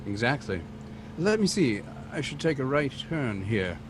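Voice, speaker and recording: An actor playing a composed, refined gentleman sleuth in an old-time radio drama, speaking formally. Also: mid-range voice, very animated, measured pace. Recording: noisy vehicle ambience behind.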